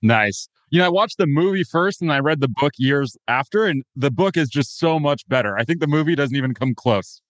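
A man hosting a podcast, reading a brisk scripted intro and then chatting in an upbeat way with his guest. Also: a little high, lively intonation, fairly bright tone, no room sound, clean studio sound. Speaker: podcast host.

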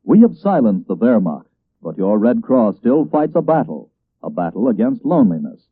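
Male vintage radio announcer: formal, emphatic, very animated scripted read, thin dry broadcast sound. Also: lowish voice, normal speed, no room sound, phone-quality sound. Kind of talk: 1940s radio public-service appeal, scripted.

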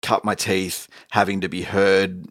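Guy with a deep thick voice, chatty musician in an interview, really dry sound. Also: little pitch movement, measured pace, a bit bright, dry recording. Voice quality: big, thick voice. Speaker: musician, singer being interviewed. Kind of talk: casual chat.

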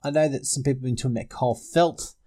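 A man's voice: medium pitch, very fast, dark tone. A man hosting a casual sports podcast, chatting about fantasy picks. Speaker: podcast host.

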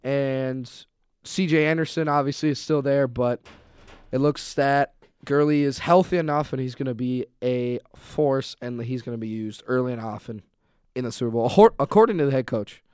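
A man's voice: mid-range voice, moderate pace, a little mellow, clean tone, no room sound. Young man's clean, dry sports-radio voice. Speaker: sports radio show co-host. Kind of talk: casual sports banter. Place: radio studio.

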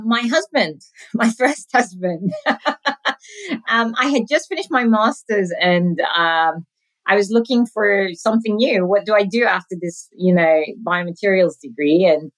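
A woman's voice: on the low side, rough recording. A woman in her forties, from Sudan with a British accent, chatting as a podcast guest and laughing often. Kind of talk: conversational interview.

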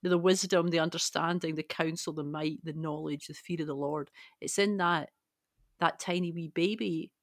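A woman's voice: low voice, steady tone, normal speed, no echo, studio quality. A woman co-hosting a conversational, reflective Bible discussion with a personal touch. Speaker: podcast co-host.

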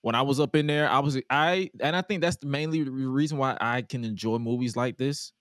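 A man's voice: medium pitch, brisk, fairly bright tone, dry recording, studio quality. A man in his twenties hosting a podcast in a loose casual chat, profane and repeating himself. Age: 20s. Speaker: podcast host.

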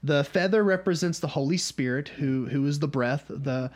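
A man's voice: fairly high pitch, moderate pace. A man from North Georgia with a Southern US accent, leading a casual Bible study and often checking in with his listeners. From North Georgia, USA. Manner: conversational, often checks in with "okay?".

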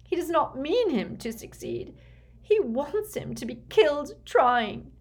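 A woman's voice: high voice, sing-song, measured pace, voice pushed up, heavily acted. A woman voicing a desperate, tearful aristocratic mother in an audiobook, pleading and sobbing. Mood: anguished, urgent. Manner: sobbing, beseeching. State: crying.

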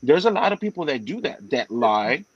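A man talking earnestly in a casual group chat, pausing and restarting often. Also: mid-range voice, very animated, breathy edge, dry recording, highs cut off. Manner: halting, many restarts, earnest. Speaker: guest.

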